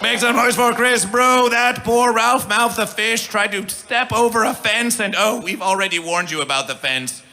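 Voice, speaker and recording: A man emceeing a live festival stage, theatrical, with mangled grammar and chanted, shouted callouts. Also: sits high, normal speed, gravelly, hardly any pauses. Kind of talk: live stage hype announcing.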